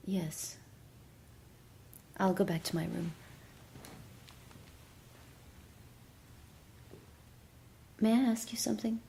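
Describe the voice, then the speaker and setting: A woman's voice: medium pitch, normal speed, gravelly, dark tone, a little hiss or hum, close to an everyday voice. An actress playing a subdued handmaid and grieving mother, reflective when narrating and hesitant and guarded when she speaks. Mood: wary, hesitant. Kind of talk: audio drama acting with intimate first-person narration. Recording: music behind the voice.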